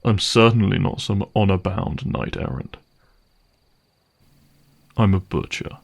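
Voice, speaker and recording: A man playing a gruff, cynical vampire hunter for hire in a dramatic audio roleplay, speaking in a wry, sardonic and menacing way. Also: sits low, fairly even intonation, a little slow, full character voice. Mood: sardonic, dismissive. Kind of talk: dramatic audio roleplay monologue addressed to listener.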